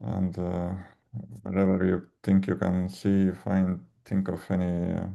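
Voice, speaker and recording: Slow gravelly-voiced man, native Estonian speaker. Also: deep voice, completely flat delivery, phone-quality sound. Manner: slow and hesitant, many fillers. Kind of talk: panel discussion on a video call.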